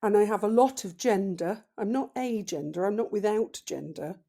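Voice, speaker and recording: A woman in her fifties with a deep voice, a podcast guest talking slowly and thoughtfully in a casual interview. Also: vocal fry, mellow. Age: 50s. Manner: slow, thoughtful, reflective.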